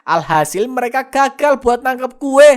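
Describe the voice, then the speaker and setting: A woman voicing a sneaky, gloating male swindler in a cartoon, muttering exclamations to himself and quick to curse. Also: sits high, animated. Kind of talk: animated cartoon dialogue.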